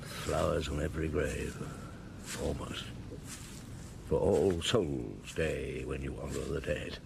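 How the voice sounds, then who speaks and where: An actor in a radio drama playing a brooding police chief inspector, deliberate and questioning, thinking aloud. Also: male voice, extremely low pitch, steady tone, creaky, heavily acted. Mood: somber. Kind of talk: BBC radio drama acting. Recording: noisy old radio broadcast.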